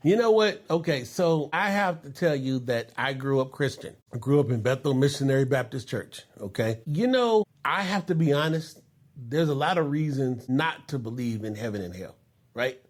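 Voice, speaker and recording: A man's voice: mid-range voice, lively intonation, moderate pace. Chatty man in his fifties. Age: 50s. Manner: storytelling, laughs often, says "you know" a lot. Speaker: podcast host. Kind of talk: casual podcast chat.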